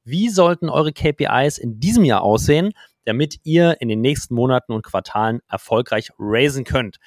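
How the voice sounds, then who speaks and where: A man's voice: medium pitch, lively intonation, normal speed, no room sound. A man hosting a business podcast, upbeat and peppering his German with English startup terms, talking in a podcast studio. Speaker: podcast host. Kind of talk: casual interview with a scripted intro.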